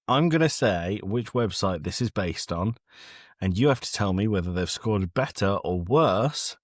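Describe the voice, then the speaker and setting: A man's voice: sits low, dry recording, clean studio sound. A British man co-hosting a podcast, casually running a quiz for the others.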